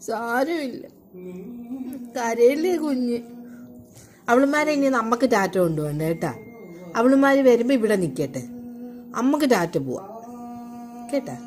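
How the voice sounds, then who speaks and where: A mother soothing her little one in gentle, repetitive baby talk, asking the same questions over and over. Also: high-pitched. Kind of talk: cooing baby talk to a child.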